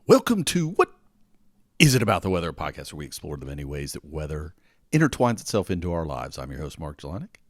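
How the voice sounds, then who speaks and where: A man's voice: extremely low pitch, wildly swooping pitch, quick pace, no room sound, clean studio sound. A man hosting a podcast, talking casually as he gets over a cold. He rambles and stumbles over his words, often repeating himself. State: recovering from a cold. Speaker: podcast host. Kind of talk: casual solo podcast talk.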